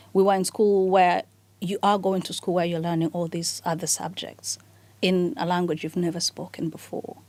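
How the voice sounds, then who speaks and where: A woman from Rwanda, co-hosting a casual radio podcast and talking in a relaxed way with restarts. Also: fairly low pitch. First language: Kinyarwanda. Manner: relaxed, thinks aloud with restarts. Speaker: co-host.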